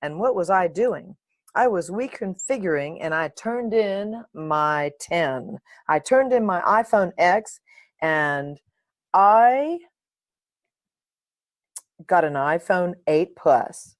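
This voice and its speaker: Woman, podcast host, playful, lively, uninhibited chat, dry close sound. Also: fairly low pitch, slow, pauses often, no room sound. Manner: playful, animated, sings and makes silly sounds; uninhibited, swears.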